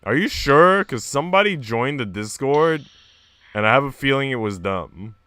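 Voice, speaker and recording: A man's voice: medium pitch, expressive, normal speed, sharp tone, clear, resonant tone, no room sound, soft background music. A man live streaming in an informal, slangy way, moving between casual chat and reading aloud.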